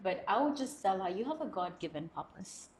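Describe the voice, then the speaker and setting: A woman's voice: medium pitch, very animated, brisk, a little mellow, echoey room, slight background noise. A young woman from South Sudan, a guest speaking earnestly and expressively in an interview, laughing often. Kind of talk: reflective interview conversation.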